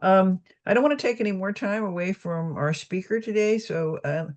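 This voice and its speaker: A woman's voice: mid-range voice, normal speed, a little mellow. A woman presents her organization's online exhibits over a video call in an informative, chatty way.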